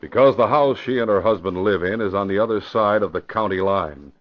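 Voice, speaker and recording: A man's voice: lowish voice, fairly quick, natural voice. An American man playing a cautious, earnest police commissioner in an old-time radio mystery, official and explanatory. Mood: troubled, cautious. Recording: dull vintage radio recording.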